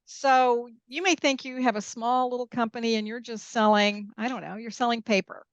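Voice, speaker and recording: A woman's voice: on the high side, steady tone, moderate pace, dry recording, highs cut off. A woman who grew up in Peru and Mexico, explaining her views emphatically in a conversational interview, often checking in with the listener. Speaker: podcast guest, international corporate lawyer.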